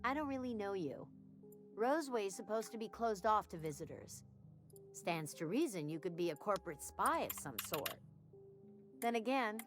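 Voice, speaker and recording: An actress voicing a brisk, mercenary woman shopkeeper in a sci-fi video game, with a wry sales manner. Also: mid-range voice, lightly acted.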